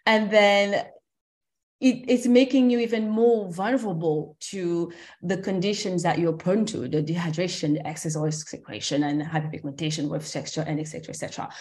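A woman from Cameroon in her thirties speaks as a guest founder in a relaxed interview. Her voice sits a little low, and she talks in a reflective way, with frequent ums and small laughs. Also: moderate pace. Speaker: skincare company founder, guest. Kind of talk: conversational interview.

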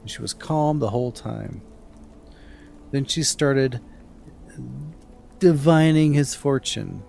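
A man's voice: medium pitch, slow, creaky, pauses often, darkish tone, a little hiss or hum. A man co-hosting a storytelling podcast in an informal, campfire style with a theatrical touch.

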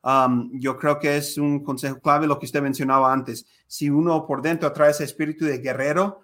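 Male podcast host, fairly high voice, hesitant, lots of fillers. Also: steady tone, normal speed, a bit bright. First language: Spanish. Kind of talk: conversational interview.

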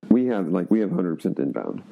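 A man interviewing a guest, asking questions in a relaxed, plain way. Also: low voice, brisk, gravelly, narrow, tinny audio.

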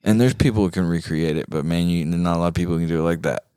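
A man's voice: deep voice, fast, mellow, clean tone, no room sound, studio quality. A man chatting casually as a show co-host, talking in an emphatic, slangy way like a guitar enthusiast. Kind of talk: casual chat.

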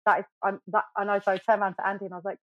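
A middle-aged woman from the UK, a podcast guest telling her story in a chatty, animated way with lots of fillers and restarts. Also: mid-range voice, very fast, dry recording. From United Kingdom. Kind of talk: casual storytelling interview. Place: podcast studio. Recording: dry, slightly dull studio sound.

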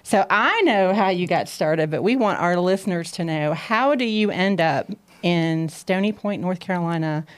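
A woman hosting a casual interview, friendly and relaxed with halting fillers. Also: lowish voice, clear, resonant tone. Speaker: podcast host.

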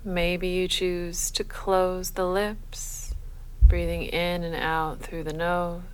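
A woman leading a guided sleep meditation as a yoga nidra guide, speaking in a measured, soothing, unhurried manner. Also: lowish voice, poor audio. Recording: faint steady background noise.

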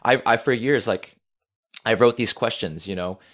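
An energetic man, a podcast guest talking casually and swearing freely. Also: lowish voice, normal speed. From United States. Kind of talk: casual conversation.

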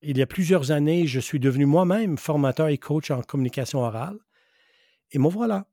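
A man's voice: fairly high pitch, lively intonation, a little fast, warm tone, no room sound, studio quality. Earnest, emphatic middle-aged podcast host, man. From western Canada. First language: English. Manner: earnest, emphatic, repeats key phrases. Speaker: podcast host and public speaking coach. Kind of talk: solo podcast monologue.

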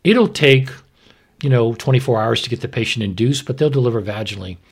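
A man's voice: medium pitch, a little fast, breathy edge. A man speaking as a doctor, explaining medical practice in an informal, plain-spoken, instructive way. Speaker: obstetrician, expert guest.

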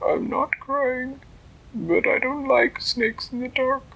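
Man doing a high, timid storybook girl. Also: fairly even intonation, slow pace, gravelly, highs cut off, slight background noise, exaggerated voice. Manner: timid, earnest. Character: timid young girl character.

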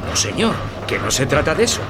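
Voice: medium pitch, very animated, a little fast, noisy background. A performer playing a playful, peacemaking talking soap bubble in a children's radio play. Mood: playful, teasing.

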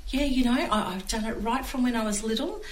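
A woman's voice: a little high, fairly quick. A woman co-hosting a podcast, chatty and casual as she shares personal anecdotes. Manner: chatty, giggly. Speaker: podcast co-host. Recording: echoey room with faint noise.

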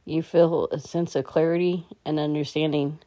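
A woman guides a meditation in a low, soft-edged voice. Her tone is dark and mellow, and she gives each instruction gently and calmly. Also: moderate pace.

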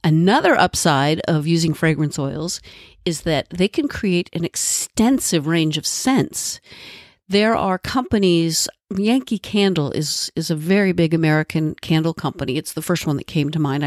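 Woman, podcast host, congested nasal voice, lowish pitch, dry recording. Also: unhurried, dry recording. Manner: friendly and explanatory, with asides. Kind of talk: solo educational podcast talk.

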